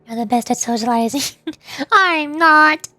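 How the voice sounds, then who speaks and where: High pitched girl streamer, woman chatting casually, kinda halting n self-deprecating, game music in back. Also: sharp tone. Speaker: livestreamer, VTuber voice actor. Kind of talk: casual livestream chat while playing a game.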